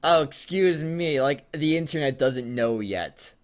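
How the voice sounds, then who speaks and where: A man's voice: fairly high pitch, lively intonation, measured pace. A man co-hosting a casual, joking read-aloud of fan fiction, mixing story reading with commentary.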